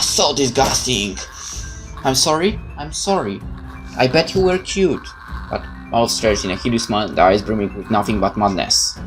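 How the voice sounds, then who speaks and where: A man reading horror game text aloud as a video host, theatrical and expressive, with informal reactions. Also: low voice. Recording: noticeable background noise.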